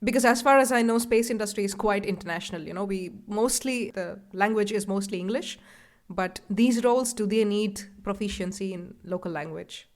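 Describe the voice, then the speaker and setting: A woman hosts an interview show with a mid-range voice. She phrases her questions clearly and articulately, in a friendly tone. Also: medium pitch, normal speed. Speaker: podcast host, interviewer.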